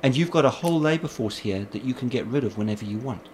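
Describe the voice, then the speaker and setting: A man's voice: mid-range voice, expressive, fast talker, a little mellow. Assured male guest, faint background hiss. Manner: assured, agreeable, explanatory. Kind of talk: casual interview.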